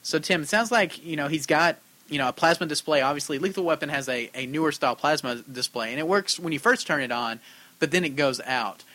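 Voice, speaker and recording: A man co-hosting a casual repair podcast, talking quickly and upbeat. His voice sits a little high, with a bright, forceful edge. He reads listener letters aloud between bits of chat. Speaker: podcast co-host.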